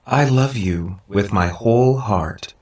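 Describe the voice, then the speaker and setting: A man's voice: fairly low pitch, takes it slowly, a little mellow, roomy sound, far from the mic, pitched above the performer's own voice. Man voicing a sweetly possessive robot girl. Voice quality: synthetic, affectionate tone. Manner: sweetly insistent, precise.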